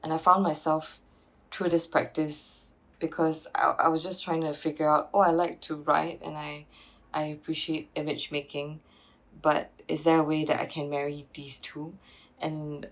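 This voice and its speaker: Low, gravelly woman curator. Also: flat delivery, rough recording. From Singapore. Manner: halting, many restarts and fillers, reflective. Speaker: curator and PhD researcher. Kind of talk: thoughtful interview answers.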